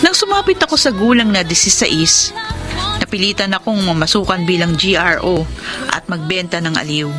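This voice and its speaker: A woman's voice: lowish voice, animated, normal speed, lightly acted. A woman playing an earnest young bar hostess in a Filipino radio drama, with a Filipino accent. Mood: confessional, sad. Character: young adult woman, bar hostess, earnest lover telling her story. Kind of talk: Filipino radio drama acting and letter narration. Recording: music bed under the voice.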